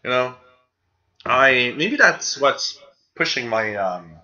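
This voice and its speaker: A man's voice: medium pitch, very animated, takes it slowly, pushed and bright, muffled recording. A man with a Quebec French accent hosting a live radio hobby show, chatting casually and reading out viewers' comments. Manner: casual, rambling, reads chat names aloud.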